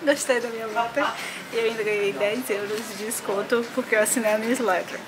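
A woman's voice: fairly high pitch, fairly even intonation, a little fast, rough recording. A young woman with a Brazilian Portuguese accent, chatting casually and cheerfully to a handheld camera while travelling. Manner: cheerful, chatty, laughs often. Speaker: travel vlogger. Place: airports and camper van. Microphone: handheld action camera. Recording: music mixed under voice.

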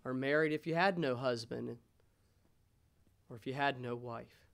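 An American man in his thirties preaching a sermon as a pastor in a measured, pausing way. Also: medium pitch, vocal fry, fairly bright tone. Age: 30s. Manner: measured, pausing, rhetorical questions. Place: church sanctuary, indoors. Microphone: gooseneck pulpit microphone.

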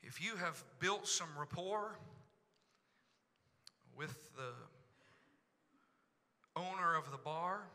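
A middle-aged pastor with an Oklahoma accent preaching into a handheld microphone in a large church sanctuary, dropping to a hush and then rising to shouts. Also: male voice, high-pitched, takes it slowly, long pauses. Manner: swings from hushed to shouting. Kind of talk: preaching a sermon. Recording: long hall reverb in church.

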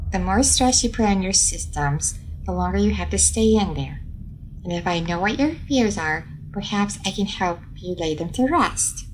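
A woman's voice: medium pitch, animated, moderate pace, slight background noise, lightly acted, poor audio. A woman playing a friendly, curious alien starship captain in an audio roleplay, soothing and a little hesitant as she addresses the listener. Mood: gentle, playful.

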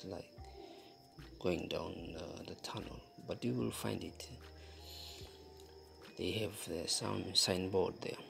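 A young man with a breathy voice talking casually to vlog viewers, haltingly and searching for words. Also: low-pitched. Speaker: vlogger.

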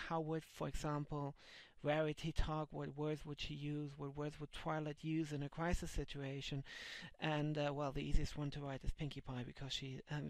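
A man's voice: fairly high pitch, creaky, no echo. A man in his thirties with a German accent, a native German speaker, chatting casually on his video blog, rambling with many fillers and restarts, recorded on a headset mic in a small room. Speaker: vlogger and comic translator. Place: small dim room indoors.

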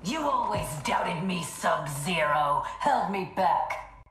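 A resentful young cyborg ninja with a fairly low voice. Her haughty, bitter game delivery echoes over a clear musical score. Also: animated, takes it slowly, fairly bright tone, soft background music. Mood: bitter, defiant. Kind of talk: dramatic video game acting.

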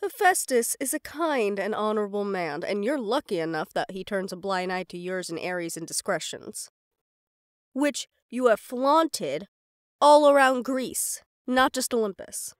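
A woman's voice: mid-range voice, unhurried, no echo, clean studio sound, lightly acted. A woman playing a stubborn, sarcastic young goddess in an audio roleplay drama, teasing and defiant. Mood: exasperated.

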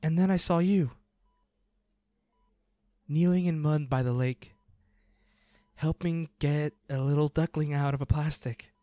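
Devoted young male hunter played by a man, audio drama: soft mellow tone, very unhurried, emotional and pleading, dry recording. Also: fairly high pitch, steady tone, dry recording, lightly acted. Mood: tender, shaken. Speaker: audio roleplay voice actor. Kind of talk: intimate dramatic monologue to an injured lover.

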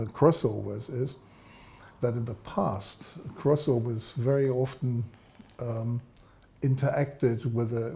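An older man in his sixties talks as an expert guest in a studio interview. His voice is mellow and on the low side, with plenty of creak. He explains technical detail deliberately. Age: 60s. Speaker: guest, loudspeaker designer. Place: studio set, seated indoors.

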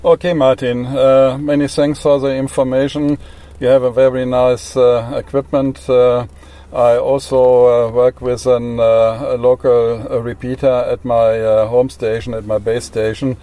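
Man, German accent, dark mellow tone, monotone delivery, halting, courteous, ham radio operator. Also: medium pitch. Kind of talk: amateur radio contact, spelling in phonetic alphabet. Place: inside a parked car.

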